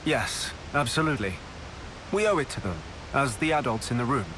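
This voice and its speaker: A man's voice: medium pitch, sing-song, a little fast, close to an everyday voice. Man acting a thoughtful hero, noisy background. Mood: sincere. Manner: considered, sincere. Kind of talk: video game cutscene acting.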